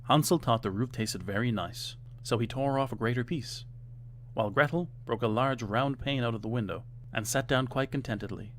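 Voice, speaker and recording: A man's voice: mid-range voice, moderate pace, a bit bright, a little hiss or hum. A man reading a fairytale aloud as scripted storybook narration.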